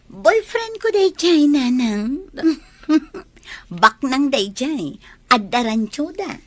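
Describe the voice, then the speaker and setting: A woman's voice: high-pitched, very animated, takes it slowly, heavily acted. An actress with an Ilocano Filipino accent playing a gushing, boastful young woman in a radio drama. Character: boastful young woman chasing a rich boyfriend.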